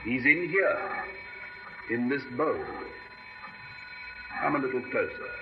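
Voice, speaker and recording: An actor playing a brisk, reassuring doctor in a radio horror drama, with a coaxing, precise way of speaking. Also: male voice, medium pitch. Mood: gently coaxing. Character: brisk, reassuring male doctor proudly showing his experiment. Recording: dull, noisy old radio recording.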